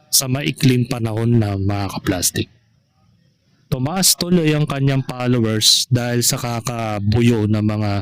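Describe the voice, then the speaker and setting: Slow-talking man giving casual commentary. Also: medium pitch, smooth. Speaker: vlogger commenting on online gossip.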